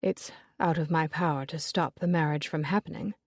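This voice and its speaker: A woman voicing an earnest, lovesick young gentleman, speaking low and hushed. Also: steady tone, moderate pace, no echo, clean studio sound.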